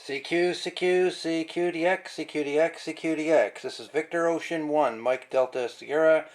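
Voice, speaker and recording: A man operating an amateur radio, calling out and repeating call signs in the phonetic alphabet in measured phrases. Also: on the high side, fairly even intonation. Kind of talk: ham radio contact calls in phonetic alphabet. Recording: very noisy, heavy radio static. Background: radio static and hiss.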